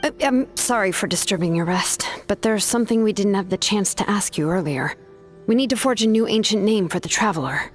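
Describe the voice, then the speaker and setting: A woman's voice: medium pitch, animated, a little fast, soft background music, natural voice. A woman voicing an earnest, resolute young craftsman in a video game, sincere, polite and ready for self-sacrifice. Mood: apologetic.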